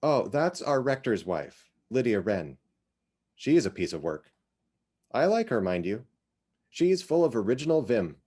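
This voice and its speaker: A man reads as a chatty, opinionated small-town woman from a storybook. The delivery is brisk and emphatic, leaping up and down in pitch with clipped, gossipy phrases. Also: big pitch swings, mellow, husky.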